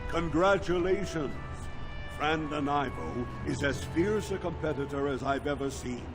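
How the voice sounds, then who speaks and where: Actor as a slow, high-voiced dwarf arena announcer. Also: male voice, lightly acted. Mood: formal praise. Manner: declamatory, formal. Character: grand, solemn male dwarf arena announcer. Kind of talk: ceremonial fantasy game announcing. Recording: music behind the voice.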